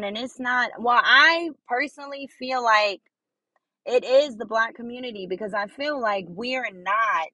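High-voiced young woman podcaster, emphatic. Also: bright, forceful tone, no echo, low-quality recording. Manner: emphatic, repeats phrases for encouragement. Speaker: podcast host. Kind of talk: casual motivational monologue.

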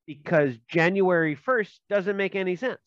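A man's voice: high voice, normal speed, no echo, dull audio. A man from Minnesota, a game designer, talking casually in an interview with many fillers and restarts and joking asides.

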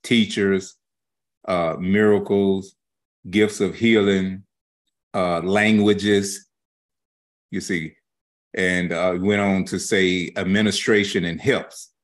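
Low-voiced man teaching scripture in halting phrases. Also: steady tone. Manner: halting, folksy, with colloquial grammar. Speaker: religious teacher.

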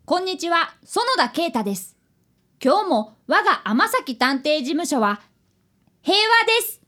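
A woman voices a schoolboy apprentice detective for a radio drama, with a very high, lively voice. He is earnest and unfailingly polite, quick to apologize. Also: heavily acted. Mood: earnest narrating. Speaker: narrator and character in a radio drama. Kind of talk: Japanese radio drama acting.

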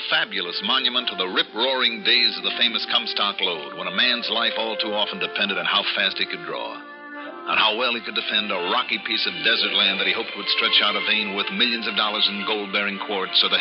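An American actor playing a brisk insurance investigator in a 1960s radio drama, telling his case in a clipped, dramatic way. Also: fairly low pitch, lightly acted. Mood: colorful, atmospheric. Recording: muffled 1960s radio broadcast with music.

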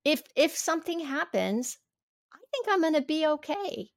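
A woman's voice: high-pitched, very animated, normal speed, no room sound. Earnest woman in her fifties, dry sound. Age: 50s. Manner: earnest, reflective, restarts often. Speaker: podcast guest. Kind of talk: casual interview chat.

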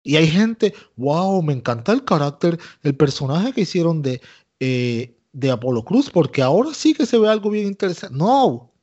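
A man's voice: fairly high pitch, very animated, normal speed, a little mellow. A man with a Puerto Rican accent co-hosting a podcast, giving opinionated casual commentary, emphatic and repetitive, dropping English phrases into his Spanish. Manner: emphatic, repeats himself, mixes English into Spanish. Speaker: wrestling podcast co-host. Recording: dull lo-fi audio.

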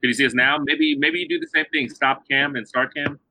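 A man hosting a podcast on a live video call, talking in a halting way full of ums and restarts. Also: medium pitch, steady tone, bright, forceful tone, no echo. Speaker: podcast host. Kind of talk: casual live-stream interview. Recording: dull video call audio.

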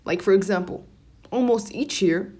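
A woman's voice: medium pitch, normal speed, dark tone, husky. A young woman from Morocco, a native Darija speaker, hosting a casual solo podcast in an informal, rambling way and often interrupting herself. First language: Moroccan Arabic (Darija). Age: young adult. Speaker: podcast host.

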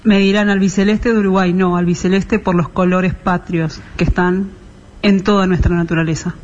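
High-voiced guy ranting in a muffled voice note. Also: level tone, moderate pace. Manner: fervent nationalist rant. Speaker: listener sending a voice message. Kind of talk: casual voice message.

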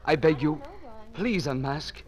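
A man's voice: a little high, a little slow, lightly acted. An actor playing an ardent young man, pleading earnestly in 1940s radio drama style. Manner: earnest, imploring. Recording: vintage 1940s radio recording, music behind.

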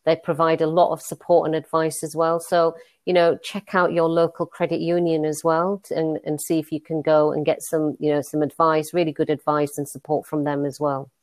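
A middle-aged woman from Leeds with a Northern English accent, a guest telling stories in a relaxed interview with many fillers and restarts. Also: sits low, fairly quick, hardly any pauses, no room sound. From Leeds, England. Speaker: guest, money advisor. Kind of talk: conversational interview.

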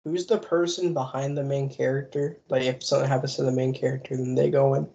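A man's voice: mid-range voice, flat delivery, quick pace, creaky, warm tone, dull audio. A man co-hosting a casual podcast, laid-back and self-mocking, laughing a lot. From United States. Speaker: podcast co-host. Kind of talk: casual chat.

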